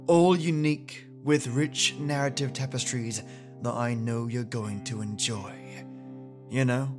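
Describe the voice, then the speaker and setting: A man introducing a podcast as a playful storyteller with a theatrical scripted delivery. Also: medium pitch. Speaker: podcast host. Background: plucked guitar music.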